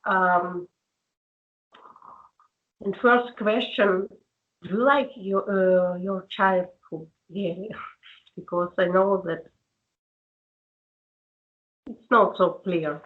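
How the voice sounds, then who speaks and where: A woman's voice: mid-range voice, steady tone. Woman on a tinny video call line. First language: Russian. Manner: hesitant, halting, many fillers. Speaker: conversation club host. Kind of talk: casual online group chat.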